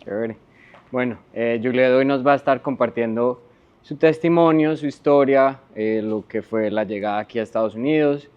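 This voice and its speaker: A man's voice: medium pitch, moderate pace, clear, resonant tone, muffled recording. A man hosting a podcast, asking questions in a casual, chatty interview style.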